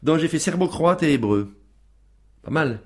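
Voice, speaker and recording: A man's voice: a little high, animated, moderate pace, a little mellow. A man as a guest on a radio show, talking casually with many fillers and restarts.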